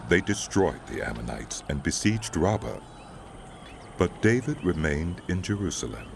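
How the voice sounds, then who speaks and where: A man's voice: low-pitched, big pitch swings, moderate pace, gravelly, background noise. A man narrating scripture from a script in a solemn storytelling style. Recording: loud music bed behind narration.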